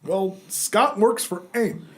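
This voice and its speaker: A man playing a beleaguered comic-book henchman and father, sheepish and defensive, making halting excuses in an amateur dramatic reading. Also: high-pitched, over a noisy crowd.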